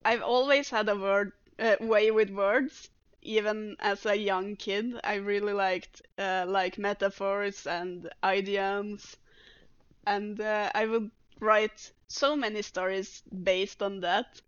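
Woman guest, easy unhurried chat, smooth tone, slightly lo-fi dry recording. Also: medium pitch, steady tone, no room sound. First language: Norwegian. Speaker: guest artist. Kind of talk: casual chat.

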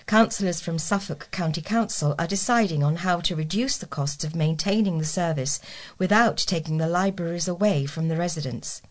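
Lowish-voiced woman reading local news. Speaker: volunteer newsreader. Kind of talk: read-aloud local newspaper news.

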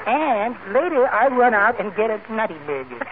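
A man's voice: high voice, very animated, moderate pace, narrow, tinny audio. An actor playing a meek, timid, henpecked little man with a mild, mincing manner in 1940s radio comedy style. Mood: meek, plaintive. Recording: old thin radio recording, heavy noise.

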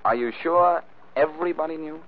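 An actor playing a wry, sharp amateur detective in a 1940s radio drama, musing and questioning as he talks. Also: a little high, brisk, close to an everyday voice. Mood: probing. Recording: muffled, narrow-band old radio recording, faint hiss.

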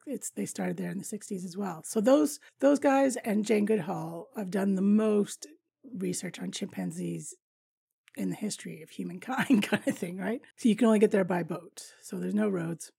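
Raspy, gravelly-voiced woman host. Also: mid-range voice, warm tone, no room sound, clean studio sound. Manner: chatty, rambling, laughs often. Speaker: podcast host. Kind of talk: casual travel storytelling.

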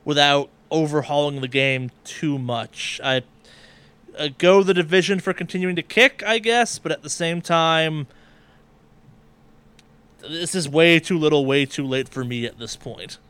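A man's voice: fairly high pitch, unhurried, fairly bright tone, smooth. A man hosting a gaming podcast in casual chat, with a wry, casually profane way of talking. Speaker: podcast host.

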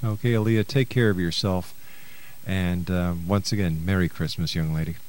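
A man's voice: low voice, fairly even intonation, normal speed. A man hosting a radio talk show in a chatty, courteous, slightly hesitant conversational way. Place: radio studio.

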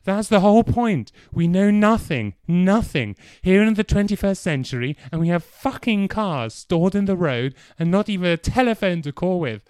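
A man voicing an exasperated man who shouts in bitter frustration, his voice strained with a tremor. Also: mid-range voice, fairly even intonation, fast talker, few pauses, smooth, dry recording, close to an everyday voice. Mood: furious.

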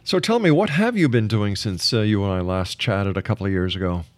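A man's voice: on the low side, animated, a little fast, no room sound. A man hosting a radio interview show in a formal announcer manner. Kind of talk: radio interview hosting, reading a guest introduction.

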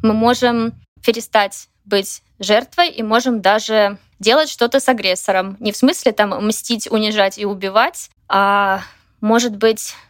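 A woman's voice: mid-range voice, steady tone, measured pace, no room sound, studio quality. A woman with a Belarusian accent, a guest writer speaking reflectively in a podcast interview, thinking aloud with fillers.

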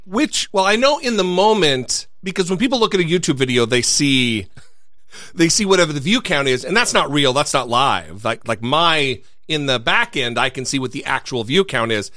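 A man with a lively, up-and-down voice rants with sarcastic exasperation. His tone is bright and forward. He swears freely into a close, dry studio mic. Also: a little high, normal speed, no echo. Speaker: podcast co-host. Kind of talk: casual podcast chat.

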